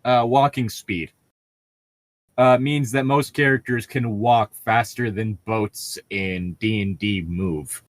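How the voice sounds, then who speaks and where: A man's voice: lowish voice, steady tone, slow. A man casually reading fan fiction aloud with friends, cutting in with sweary, mocking asides. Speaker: fan fiction podcast reader. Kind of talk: casual comic read-aloud and banter.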